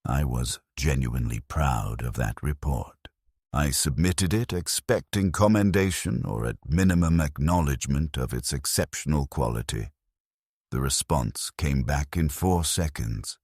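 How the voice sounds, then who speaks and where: A man narrating a scripted first-person story in a measured, grave manner. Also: extremely low pitch, darkish tone, slightly rough, no echo, studio quality.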